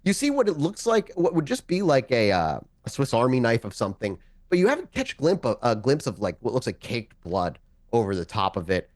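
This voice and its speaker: A man's voice: medium pitch, sing-song, a little fast, darkish tone. A man improvising narration as game master of a tabletop game, talking in a casual, conversational, sometimes profane way.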